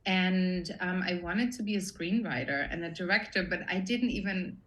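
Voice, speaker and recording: A woman's voice: on the low side, moderate pace, fairly bright tone. A woman in her forties from Austria with an Austrian German accent, speaking thoughtfully as a podcast guest, with frequent ums and restarts. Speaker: guest, production designer. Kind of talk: casual interview conversation.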